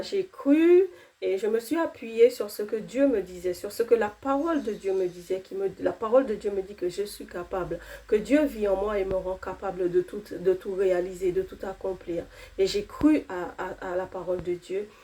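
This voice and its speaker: A woman's voice: mid-range voice, dark tone. A woman in her thirties, not a native French speaker, giving an earnest personal faith testimony, with fillers and restarts.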